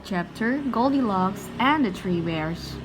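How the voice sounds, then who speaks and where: Animated woman reading a children's storybook. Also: medium pitch, noisy background. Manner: animated, unhurried storytelling with short asides. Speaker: storybook reader. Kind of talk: expressive read-aloud of a children's picture book.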